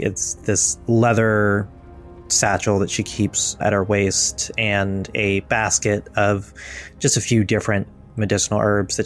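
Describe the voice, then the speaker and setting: Low-ish voiced guy running a tabletop game, talks real slow, faint music under. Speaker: game master.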